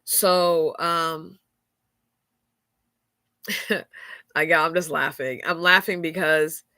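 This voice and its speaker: A woman's voice: sits low, fairly even intonation, drawn-out words, sharp tone, no room sound, clean studio sound. A woman, hosting a faith-centered podcast and talking candidly, with lots of fillers. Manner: candid, chatty, frequent fillers and laughs. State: stuffy nose. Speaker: podcast host. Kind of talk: casual faith-centered chat.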